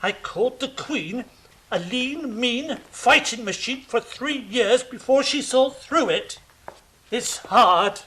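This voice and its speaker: A man's voice: extremely high pitch, animated, slow pace, pushed and bright, full character voice, rough recording. A man playing a weary middle-aged king who talks in a dry, sarcastic, put-upon way.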